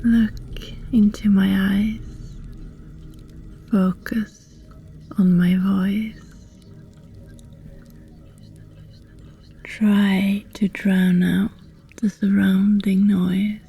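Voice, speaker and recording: ASMR roleplay sorceress, female performer: friendly, reassuring, unhurried delivery, breathy whisper with creaky fry at the edges. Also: medium pitch, dark tone, heavily acted. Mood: hypnotic, soothing. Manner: slow, soothing, deliberate with long pauses. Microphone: close, dry microphone.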